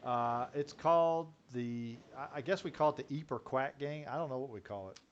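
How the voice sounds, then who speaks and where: A man's voice: mid-range voice, normal speed, clear, resonant tone, dull audio. A man hosting a casual group chat over a video call, talking loosely with lots of fillers. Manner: chatty, relaxed, frequent fillers. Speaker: host.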